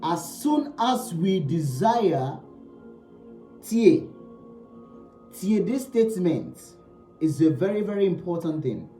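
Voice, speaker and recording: A man's voice: sits high, big pitch swings, slow pace, pauses often, mellow, reverberant, faint music behind. A man giving a spiritual teaching talk. He speaks emphatically, repeating key phrases and asking rhetorical questions.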